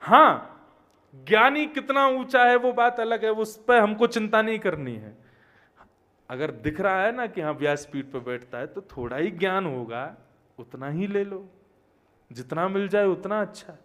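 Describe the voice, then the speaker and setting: High-voiced Hindi preacher, emphatic storytelling. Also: male voice, lively intonation, a little slow. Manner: storytelling, swings from quiet to loud emphatic exclamations. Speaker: Bhagavat katha preacher. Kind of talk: devotional sermon with chanted Sanskrit verses.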